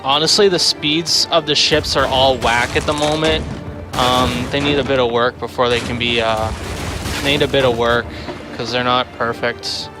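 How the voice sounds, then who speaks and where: A man's voice: medium pitch, steady tone, normal speed. Man rambling over game music. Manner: rambling, thinking aloud. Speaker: game developer livestreamer. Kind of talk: casual gameplay livestream commentary. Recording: music behind the voice.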